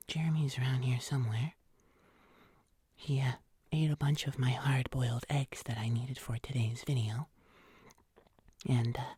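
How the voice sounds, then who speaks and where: A woman voicing a gentle, nervous fuzzy monster in a breathy, hesitant ASMR whisper. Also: extremely low pitch, no echo, exaggerated voice. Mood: gentle, welcoming. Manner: slow, soft, hesitant, with small pauses. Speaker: ASMR host.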